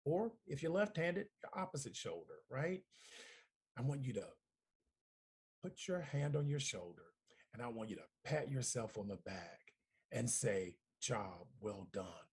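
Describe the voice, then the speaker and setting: A middle-aged man delivering a congratulatory speech as a community organization director, deliberate and emphatic, with rhetorical repetition. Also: medium pitch, lively intonation, slightly rough. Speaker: community organization director giving recorded remarks. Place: at home.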